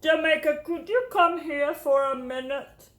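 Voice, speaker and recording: A woman plays a gentle storybook schoolteacher. She is high-pitched and steady, drawing out her words with patient kindness. The room around her echoes. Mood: clear, friendly. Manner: slow, measured, gentle. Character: kind, steady woman substitute teacher.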